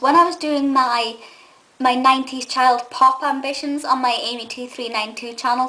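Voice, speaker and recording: Bright, high-voiced young woman vlogging. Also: poor audio. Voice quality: says she has a gruff voice. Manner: enthusiastic and nostalgic, rambling with many ums. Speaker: vlogger and film reviewer. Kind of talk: casual chatty review to camera.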